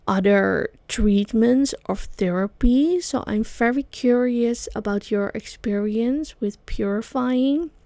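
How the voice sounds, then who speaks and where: Slow-speaking Dutch-accented woman podcaster. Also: medium pitch, mellow, no echo. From Netherlands. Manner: slow, searching phrasing with many fillers. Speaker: podcast host, sound bath guide. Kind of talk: solo podcast monologue. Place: small studio.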